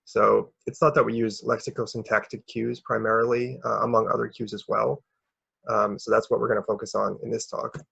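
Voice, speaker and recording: Gravelly, husky young man presenting research. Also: fairly low pitch, fairly bright tone, dull audio. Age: young adult. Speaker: researcher presenting a conference paper. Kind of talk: explanatory academic presentation.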